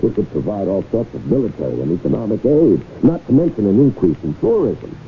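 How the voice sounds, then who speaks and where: An actor playing a smooth, scheming gentleman con artist in a radio drama, with courtly, halting salesman's patter. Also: lowish voice, sing-song. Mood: smooth pitching. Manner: hesitant, courtly salesman's patter with deliberate pauses. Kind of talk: 1970s radio drama acting. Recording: muffled, faintly noisy old radio broadcast.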